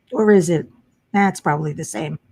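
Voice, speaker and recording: A middle-aged woman with a low voice, tired from lack of sleep, chatting casually to viewers as host of a crafting livestream. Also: a little slow, gravelly, darkish tone, slightly rough. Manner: chatty, muttering while searching for pieces. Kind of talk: casual live chat while diamond painting.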